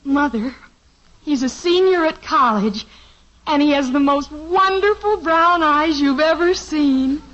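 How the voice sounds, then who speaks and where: A woman's voice: high-pitched, unhurried, fairly bright tone, slight background noise. An actress playing a dreamy, boy-struck teenage daughter in a 1950s radio sitcom, gushing and breathless. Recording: dull lo-fi old radio transcription.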